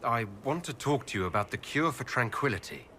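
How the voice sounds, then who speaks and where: An actor playing a caring male leader of a fantasy inquisition in a video game, gentle and questioning. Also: medium pitch, animated, brisk, a little hiss or hum. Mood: earnest encouragement. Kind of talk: dramatic video game cutscene dialogue. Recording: reverberant hall, faint music behind.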